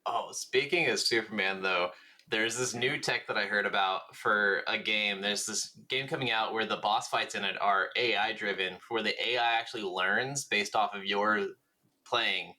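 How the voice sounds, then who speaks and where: A man's voice: fairly low pitch, normal speed, sharp tone, low-quality recording. A man chatting informally as co-host of a gaming podcast, swearing freely. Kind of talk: casual gaming podcast chat.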